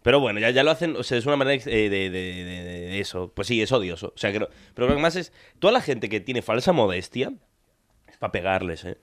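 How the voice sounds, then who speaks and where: A young man from Argentina co-hosting a podcast, chatting casually in a rambling, joking way, with quick asides and laughs. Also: on the low side, lively intonation, a bit bright. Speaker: podcast co-host. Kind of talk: casual banter.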